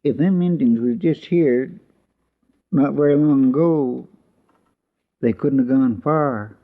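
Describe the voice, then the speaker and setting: An actor playing a talkative, simple-hearted frontier sidekick with a rural Western drawl and rambling, deferential homespun manner, in 1950s radio western style. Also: male voice, medium pitch, takes it slowly. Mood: uneasy. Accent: rural Western American drawl. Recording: muffled, noisy vintage radio recording.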